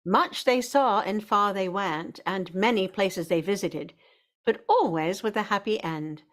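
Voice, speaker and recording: A woman with a medium-pitched voice narrates a scripted radio drama. Her delivery is measured and literary, that of a storyteller reading a classic tale.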